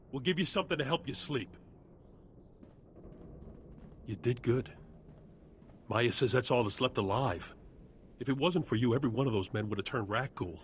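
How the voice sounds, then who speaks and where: Forceful, folksy military officer. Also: male voice, medium pitch, very animated, normal speed, long pauses, slight background noise. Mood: relieved, caring. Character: hearty male military officer, loyal to his soldiers. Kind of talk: video game dialogue.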